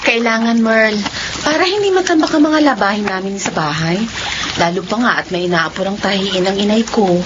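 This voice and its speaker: A woman acting in a Filipino radio drama as a gentle, easygoing young village woman. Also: mid-range voice, animated, fairly quick, close to an everyday voice. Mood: matter-of-fact. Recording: dull lo-fi radio drama, noisy background.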